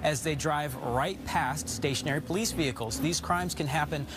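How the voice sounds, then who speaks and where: A young man reporting the news in a crisp, scripted way, partly standing outdoors on a town street at night. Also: a little high, moderate pace, noisy background. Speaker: field reporter.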